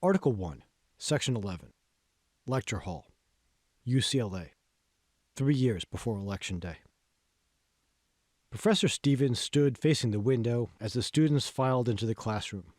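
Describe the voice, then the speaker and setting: A man's voice: medium pitch, lively intonation, normal speed, pauses often, a little mellow, no room sound. Man narrating a novel, dry recording. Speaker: audiobook narrator, reading own novel. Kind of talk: scripted audiobook narration.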